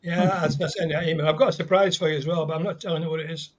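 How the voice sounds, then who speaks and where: A man, a guest on a fan chat show, eager and quick with agreement. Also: on the high side, steady tone.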